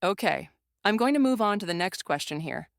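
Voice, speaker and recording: A young adult interviewer with a very clear, smooth voice at a middling pitch, recorded dry. The delivery is polite and formal, moving through prepared questions and follow-ups. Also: lively intonation, fairly quick, no echo.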